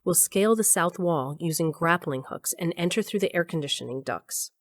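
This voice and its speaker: A woman's voice: fairly low pitch, lively intonation, normal speed, no echo, close to an everyday voice. An actress playing a wry, daring woman who narrates her own covert adventure in a conversational audio drama style. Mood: playful teasing. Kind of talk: audio drama acting with first-person narration.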